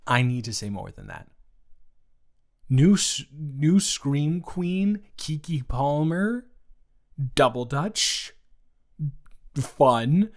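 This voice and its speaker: A young American man improvising a casual roleplay chat, talking emphatically and haltingly, repeating words for stress. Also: fairly high pitch. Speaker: audio roleplay performer.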